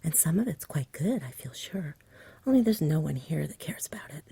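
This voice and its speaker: A woman voicing a sensible, frank young boy from a storybook in a soft whisper, earnest, polite and matter-of-fact. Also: low voice, big pitch swings, normal speed, vocal fry, slightly rough, no echo, heavily acted. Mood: frank, friendly.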